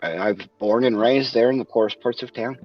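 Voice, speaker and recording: A man playing a roleplay character, hesitant and filling pauses with many uh sounds. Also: mid-range voice, highs cut off, soft background music. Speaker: roleplay player.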